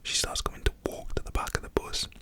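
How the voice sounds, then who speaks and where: A young man telling a story in a casual, rambling whisper, full of fillers. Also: quick pace.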